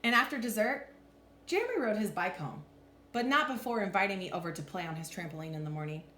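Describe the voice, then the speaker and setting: A woman's voice: medium pitch, fast. A woman reading a storybook aloud like a teacher, expressively acting out the lines. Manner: animated, clearly acts out lines. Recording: echoey room with faint background noise.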